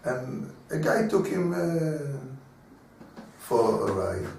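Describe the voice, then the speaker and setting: Male rabbi, storytelling lecturer, higher voice, recorded from a distance. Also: sing-song, slow pace, vocal fry, a little mellow, a little hiss or hum. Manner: storytelling, acting out voices in dialogue. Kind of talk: Torah class lecture. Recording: very echoey room, mic far away.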